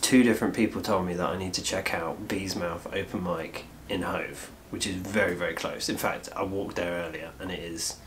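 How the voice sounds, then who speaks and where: A man's voice: low voice, fairly even intonation, normal speed, hardly any pauses, a little hiss or hum. A man in his twenties talking casually to camera as a vlogger, in a small room. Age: 20s. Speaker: vlogger and musician. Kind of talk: casual vlog talk.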